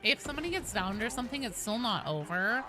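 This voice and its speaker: A woman's voice: a little high, big pitch swings, quick pace, music in the background. A woman game streamer chatting casually through live gameplay, informal and sweary, full of gamer slang. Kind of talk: casual live gameplay commentary to chat and teammates.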